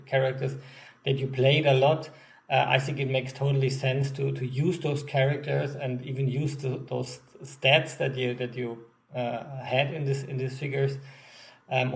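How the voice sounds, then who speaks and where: A man with a German accent, a game designer giving an unscripted update to backers, pausing often with many ums and restarts. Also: mid-range voice.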